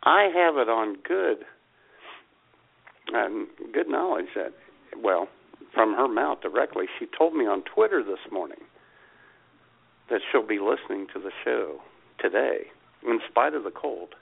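Male co-host, folksy joker, gravelly, phone-line sound. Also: fairly low pitch, very animated, a little slow, long pauses. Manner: folksy, joking banter. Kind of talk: casual radio chat.

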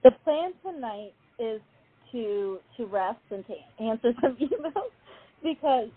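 Relaxed woman guest on a tinny line. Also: a little high, unhurried, breathy edge. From United States. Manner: giggly, relaxed. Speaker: singer-songwriter guest. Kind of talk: casual interview chat. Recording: tinny phone-like call audio.

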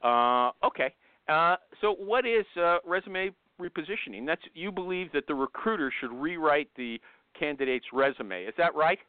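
High-pitched male host, blunt and teasing, on a thin phone line. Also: expressive, normal speed, clean tone, no room sound. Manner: blunt, teasing, interrupts guests. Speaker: show host. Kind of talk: brash casual call-in show. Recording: tinny phone-line audio.

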